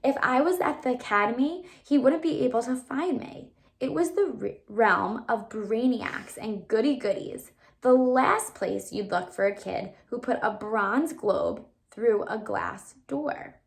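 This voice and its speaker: Female read-aloud teacher, voice a little high, animated storyteller for young listeners, explains as she goes. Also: measured pace, gravelly. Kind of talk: expressive children's book read-aloud.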